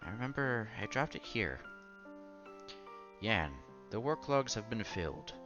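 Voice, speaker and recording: Male let's-player, low forceful voice, casual read-aloud with small stumbles, music behind. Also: big pitch swings, measured pace, highs cut off. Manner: stumbles and repeats words while reading. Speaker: streamer reading a visual novel aloud. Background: game music.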